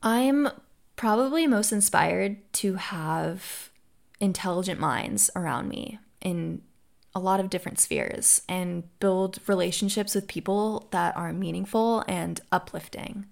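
A woman's voice: medium pitch, a little slow, creaky. A young American woman hosting her own podcast, answering listener questions in an upbeat, earnest way. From United States. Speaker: podcast host. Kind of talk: solo podcast Q&A.

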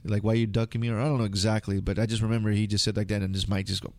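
A man's voice: fairly low pitch, level tone, rapid-fire, no room sound. An American man chatting casually in a rambling, slangy way. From United States. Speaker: interview guest. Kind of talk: casual conversation.

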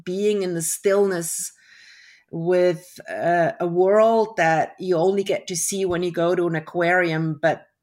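A woman's voice: fairly low pitch, fairly even intonation, unhurried, darkish tone. A middle-aged woman from Switzerland, a guest in a casual interview over a video call, reflective and full of restarts. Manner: reflective, with frequent restarts and fillers.

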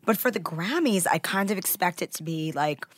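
A woman's voice: lowish voice, lively intonation, brisk, no echo, clean studio sound. Stuffy-nosed woman hosting a chat. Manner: chatty and upbeat, thinks aloud. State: sick, nasal. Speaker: podcast host. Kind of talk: casual chat. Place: studio. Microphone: studio mic.

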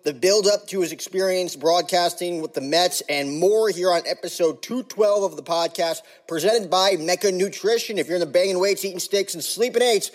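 Upbeat male podcast host, fairly high voice. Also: normal speed, few pauses. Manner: energetic, hyped sports talk with slang. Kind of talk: casual podcast intro and interview.

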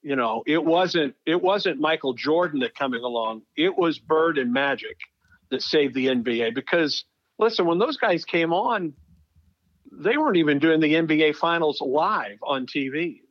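A man's voice: fairly high pitch, lively intonation, normal speed, no room sound, highs cut off. A middle-aged male sports broadcaster telling stories conversationally as an interview guest, leaning on phrases for emphasis. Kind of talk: conversational storytelling.

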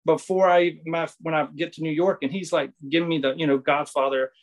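Rambling man, slightly high voice. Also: steady tone, fairly quick, highs cut off. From Southwest Virginia. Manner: rambling, many restarts and fillers. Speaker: guest, former baseball pitcher. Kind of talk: casual interview storytelling.

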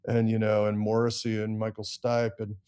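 A man's voice: on the low side, monotone, normal speed, warm tone, smooth. A middle-aged man with an American accent, telling his story candidly and self-deprecatingly as an interview guest. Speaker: interview guest, songwriter and producer.

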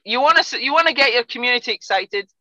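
A woman's voice: on the high side, expressive, fast, pushed and bright, dry recording, dull audio. A woman from Scotland with a Scottish accent, guest coach on a podcast, chatting loosely with many restarts and fillers. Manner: chatty, frequent restarts and fillers, laughs easily. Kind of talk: casual podcast interview.

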